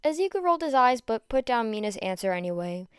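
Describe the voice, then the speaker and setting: A woman narrates fan fiction in audiobook style. Her voice is fairly high and crisp, recorded dry without room echo. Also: big pitch swings, fast.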